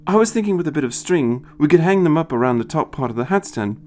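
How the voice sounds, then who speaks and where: A man voicing a practical, slightly snarky woman companion in a storybook, brisk and expressive. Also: a little high, voice pushed up, lightly acted. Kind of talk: dramatized storybook reading.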